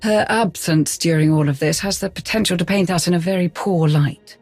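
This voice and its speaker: An actor playing a polite, anxious advisor to a Sith lord in a video game, formal and deferential, with a husky voice. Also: male voice, sits high, normal speed, faint music behind. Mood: concerned, tactful. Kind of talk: video game cutscene acting.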